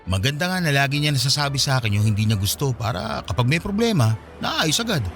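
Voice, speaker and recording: A man's voice: mid-range voice, big pitch swings, quick pace, vocal fry, fairly bright tone, soft background music. An actor with a Filipino accent playing an easygoing, straightforward young man, a best friend, in a radio drama. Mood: matter-of-fact.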